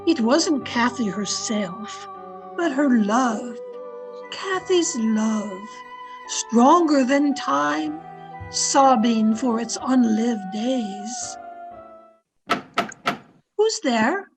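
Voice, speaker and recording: A woman acts out a sorrowful older housekeeper in a radio drama. Her voice is high and she draws words out slowly and earnestly. Music plays clearly behind her. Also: animated, exaggerated voice. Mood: anxious. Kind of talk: old-time radio drama storytelling.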